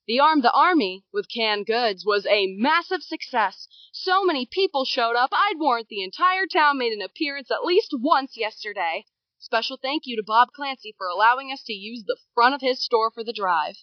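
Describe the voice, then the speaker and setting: Community radio announcer, earnest, female actor fairly high woman's voice, dull close broadcast. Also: lively intonation, moderate pace, no room sound, close to an everyday voice. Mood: encouraging. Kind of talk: audio drama local broadcast.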